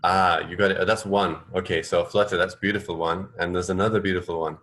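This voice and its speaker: Low-voiced man in casual radio banter. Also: level tone, fast talker, a bit bright. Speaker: radio co-host.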